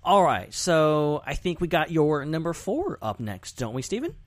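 Very animated male podcast host. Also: on the high side, moderate pace, no room sound. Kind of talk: casual podcast chat.

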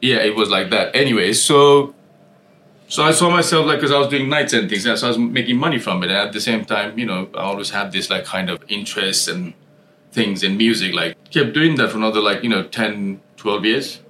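A man's voice: lowish voice, fairly quick, pushed and bright, a little hiss or hum. A man in his forties from Nepal, a restaurant owner and musician, telling his story in a loose, rambling chat full of fillers. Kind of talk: casual interview chat. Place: London.

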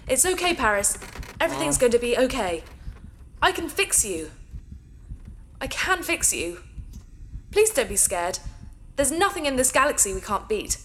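Woman playing an earnest, high-voiced, expressive young-minded AI. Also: normal speed, long pauses, slight background noise. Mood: soothing, pleading. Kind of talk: science fiction audio drama acting.

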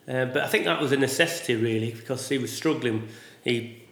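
A man who is a sheep farmer, talking casually in a loud voice and often ending with tag questions. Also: mid-range voice, a little fast, echoey room. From England. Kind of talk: casual conversation.

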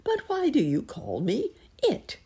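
A woman's voice: high-pitched, huge pitch swings, a little slow, warm tone, slightly rough, pitched above the performer's own voice, exaggerated voice. A woman voicing a modest, shy elderly male rabbit in a storybook, courteous and a little bewildered. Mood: astonished, indignant. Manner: courteous, old-fashioned, hesitant phrasing.

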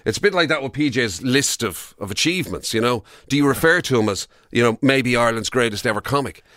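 An Irish man co-hosting casual radio banter, wry and bemused, halting as he questions things. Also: medium pitch, lively intonation, bright, forceful tone, no room sound, studio quality. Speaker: radio show co-host. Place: radio studio.

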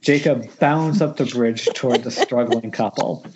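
A man's voice: mid-range voice, moderate pace, darkish tone, slight background noise. A man reading stage directions at an informal script table read, with wry delivery, over a lo-fi internet call.

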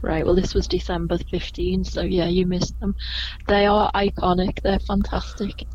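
A woman with a gentle Scouse accent talks easily in a casual podcast interview. She laughs a lot and trails off into ums while she thinks. A faint hiss sits under the recording. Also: lowish voice, level tone, moderate pace, highs cut off. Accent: gentle Liverpool (Scouse). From Liverpool, England. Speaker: tour guide guest.

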